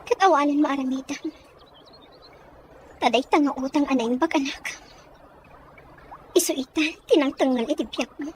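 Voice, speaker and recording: A woman playing a wounded but resolute young woman in an audio drama, speaking in a measured, sorrowful manner. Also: sits high, a little mellow, a little hiss or hum, lightly acted. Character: wounded, resolute young woman rejecting her former sweetheart. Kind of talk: Ilocano audio drama acting.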